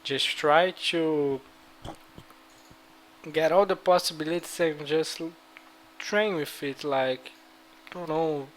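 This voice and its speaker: A man's voice: on the high side, slow pace, long pauses, slight background noise, poor audio. A man from Brazil with a Brazilian accent, casually giving card game strategy advice with loose, rambling speech full of fillers. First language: Portuguese. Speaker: gaming video creator. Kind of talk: casual gaming strategy talk.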